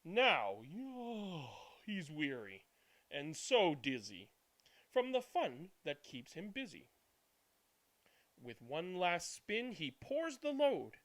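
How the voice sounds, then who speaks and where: A man narrating a children's bedtime storybook in an expressive, deliberate way. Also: high voice. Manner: animated, deliberate reading with hushed good nights. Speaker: read-aloud channel host.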